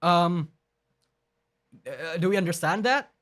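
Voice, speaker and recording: A male streamer with a voice a touch higher than most men's, arguing his points emphatically on a live broadcast. He trips over his words and starts sentences over, and he swears bluntly as he goes. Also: expressive, slow, a bit bright. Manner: emphatic, argumentative, with frequent restarts; blunt and profane. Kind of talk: live-stream commentary reading and rebutting a document.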